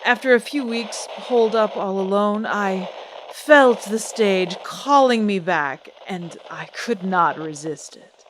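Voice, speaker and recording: An actress playing a proud, guarded singer and stage star, theatrical and poised in an old-time radio drama style. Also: female voice, mid-range voice, slow, a little hiss or hum, close to an everyday voice, low-quality recording. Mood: resolute, dramatic. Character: proud, guarded female singer-actress, a glamorous celebrity diva. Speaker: celebrity interview guest.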